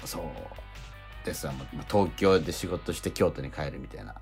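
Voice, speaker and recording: A man's voice: a bass voice, normal speed, creaky, darkish tone, soft background music. A man co-hosting a radio show, chatting casually and telling a rambling story full of fillers. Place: radio studio.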